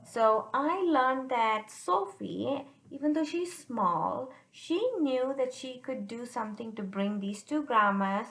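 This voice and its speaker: Female storybook reader for little kids, slightly high voice, checks in with listeners, recorded in an echoey room. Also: lively intonation, slow, creaky, hardly any pauses, fairly bright tone. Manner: addresses listeners directly with checking questions. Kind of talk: read-aloud to young children with chatty asides.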